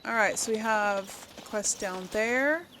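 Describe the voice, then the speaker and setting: A woman's voice: medium pitch, a little slow, a bit bright, a little hiss or hum. A woman doing a casual let's play, thinking aloud and reading game dialogue options as she role-plays. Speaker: gaming channel host role-playing a video game.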